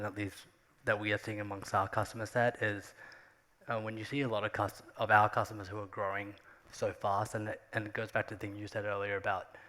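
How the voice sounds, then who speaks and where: A man talking conversationally as a conference panelist, in a halting way that often restarts phrases. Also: fairly low pitch, a bit bright. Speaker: tech company executive on a panel. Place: on stage at a conference. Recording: echoey hall with long reverb.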